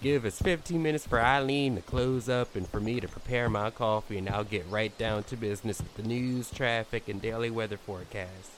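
A man acting as a small-town daytime radio host who hunts monsters in an audio drama. His voice sits fairly low, and he talks in a folksy, no-nonsense, matter-of-fact way. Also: normal speed, few pauses, a little hiss or hum, natural voice. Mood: businesslike greeting.

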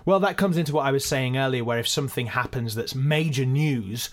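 A man's voice: mid-range voice, fairly quick. A man, a podcast co-creator, answering listener questions in a casual, chatty, self-deprecating way. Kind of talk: casual behind-the-scenes Q and A.

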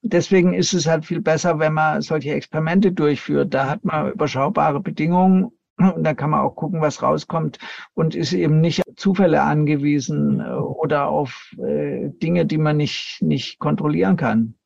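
A man's voice: fairly high pitch, normal speed, gravelly, darkish tone, no echo, muffled recording. A man giving explanatory, hesitant interview answers as an expert guest. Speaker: expert guest, paranormal researcher and counselor.

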